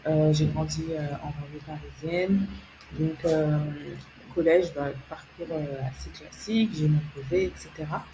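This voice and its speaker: A woman's voice: low-pitched, steady tone, normal speed, noisy background. A young woman from France, a guest telling her story in an interview, hesitant, with many fillers and restarts, laughing easily. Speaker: guest, tech founder and consultant.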